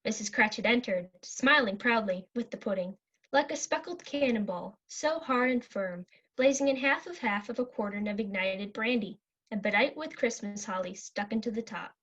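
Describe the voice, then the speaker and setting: Young female, student narrator, fairly high pitch, scripted story reading, lo-fi sound. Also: normal speed, a bit bright. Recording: dull lo-fi recording.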